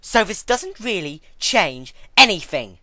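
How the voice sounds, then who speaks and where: A storyteller plays an indignant young pony villainess, frantic and accusing. The voice is pushed up high and hard, with sharp, forceful outbursts in a dry story recording. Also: big pitch swings, measured pace, no echo. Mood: menacing, furious.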